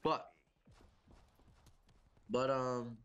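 A man's voice: mid-range voice, little pitch movement, very slow, fairly bright tone, dull audio, slight background noise. Congested young man ranting over gameplay. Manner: casual, profane, exasperated ranting. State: congested, sniffly from a cold. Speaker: gaming YouTuber. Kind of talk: gameplay commentary.

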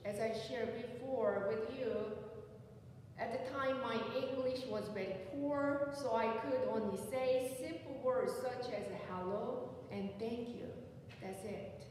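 A woman's voice: fairly high pitch, darkish tone, a little hiss or hum. A woman pastor in her forties with a Korean accent, preaching an earnest personal sermon from the pulpit in a church sanctuary. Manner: slow, earnest, personal testimony. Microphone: pulpit mic, recorded by a far camera. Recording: echoey sanctuary from far off.

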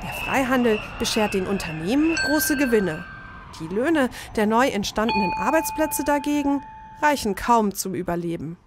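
A woman narrating a scripted explainer in a measured, matter-of-fact way. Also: fairly high pitch, expressive, noisy background.

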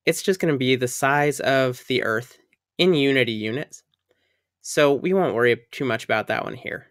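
A man talks through a software tutorial over a screen recording, friendly and upbeat as he explains each setting. His voice sits at an everyday middle pitch and is recorded close and dry, with no room sound. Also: moderate pace, no room sound.

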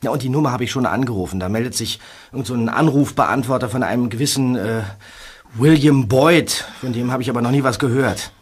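A man's voice: mid-range voice, slightly rough, lightly acted. A man playing a worried young male detective in a radio play, who stammers when upset. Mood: worried, flustered. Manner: stammering, hurried. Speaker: teen detective.

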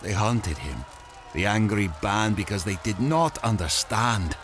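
A man's voice: on the low side, lively intonation, measured pace, background noise. An actor playing a tormented male mad scientist, delivering a solemn, theatrical rhyming monologue in a gothic horror audio drama. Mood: anguished, regretful. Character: tormented, brooding male mad scientist confessing his blasphemy.

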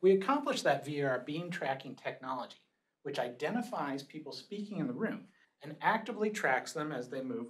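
A man's voice: a little high, low-quality recording. A middle-aged man explaining a product in a scripted presentation in a small meeting room. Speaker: product marketing manager presenting a demonstration.

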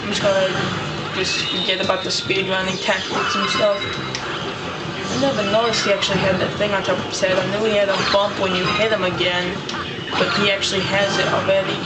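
Hoarse man rambling over loud game music. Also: sits high, flat delivery, fairly quick, distant mic, rough recording. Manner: rambling, frustrated outbursts, mumbled asides. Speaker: let's play host. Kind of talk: casual gameplay commentary.

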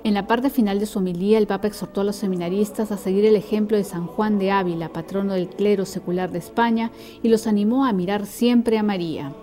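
A woman reading a calm, scripted news voice-over. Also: medium pitch, fairly quick, hardly any pauses. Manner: calm, even reporting. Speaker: news narrator.

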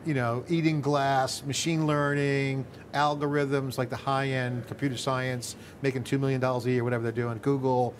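A middle-aged man hosts a tech interview in a conversational, rambling way, often restarting his sentences. He's on a lapel mic in a studio set. A clear murmur of floor ambience sits behind him. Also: mid-range voice, level tone, normal speed, hardly any pauses, slight background noise. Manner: loose, rambling, thinks aloud with restarts. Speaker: host.

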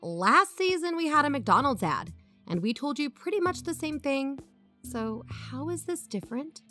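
A woman's voice: high-pitched, expressive, moderate pace, bright, forceful tone, faint music behind. A woman acting as a practical, blunt podcast co-host in a conversational audio drama. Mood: defensive.

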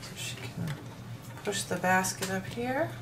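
A woman's voice: medium pitch, animated, measured pace, noisy background. A woman casually demonstrating a toy playset, explaining each piece as she handles it. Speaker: toy reviewer.

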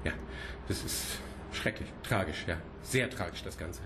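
Senior scientist, low voice, formal, hesitant, evasively polite, radio drama, noisy ambient background. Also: male voice, expressive, unhurried. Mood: placating. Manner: formal, hesitant, repeating himself. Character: measured senior scientist at a marine institute, evasively courteous. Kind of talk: naturalistic German radio play acting.

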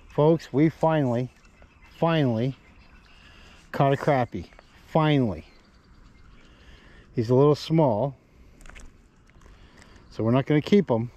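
A man's voice: medium pitch, dark tone. A man giving casual running commentary as he fishes outdoors for a vlog. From Canada. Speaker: fishing vlogger. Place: outdoors at a small pond bank. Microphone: body-worn action camera.

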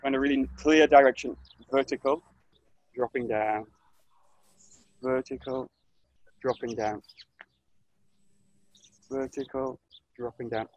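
A man in his thirties teaching an online aikido class, giving calm, short coaching cues outdoors in a grassy orchard, recorded on a camera mic as he moves nearer and farther. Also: mid-range voice, takes it slowly, long pauses, dry recording, highs cut off. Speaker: aikido instructor. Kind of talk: live online class instruction. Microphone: camera mic, distance varies as he moves.

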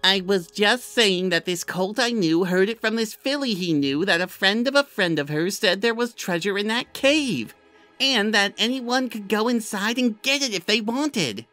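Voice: mid-range voice, animated, moderate pace, pushed and bright, dry recording, faint music behind. An actor voicing a smug, bullying young pony colt, taunting and boastful, in a cartoon fan-fiction audio drama.

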